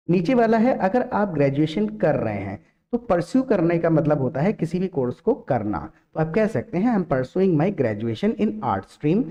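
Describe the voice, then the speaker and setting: A man with an Indian accent teaching a step-by-step language lesson, instructional, repeating example sentences slowly and deliberately. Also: on the high side, dark tone, muffled recording. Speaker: English teacher. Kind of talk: step-by-step spoken English lesson.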